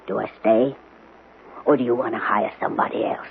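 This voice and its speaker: An actress playing a bossy, plain-spoken housekeeper in a radio drama, with a folksy, sarcastic, no-nonsense manner. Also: female voice, extremely low pitch, fairly even intonation, brisk, gravelly. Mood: sarcastic, defiant. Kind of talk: 1970s radio drama acting. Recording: tinny, noisy old radio broadcast.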